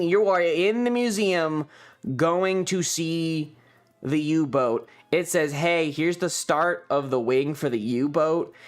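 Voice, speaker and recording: A man streamer casually recounting a trip in a rambling, sweary, amused way, with music behind him. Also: medium pitch.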